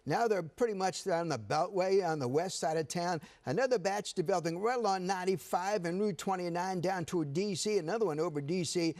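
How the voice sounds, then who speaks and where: Fast-talking male TV weatherman. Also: sits high, darkish tone. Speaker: TV weather forecaster. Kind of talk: unscripted live weather commentary. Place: TV weather center.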